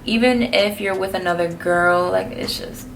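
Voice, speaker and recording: A woman's voice: mid-range voice, moderate pace, vocal fry, slight background noise. A twenty-one-year-old woman from New City, answering viewer questions in a blunt, slangy, laid-back vlog. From New York City. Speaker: vlogger. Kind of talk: casual Q&A vlog.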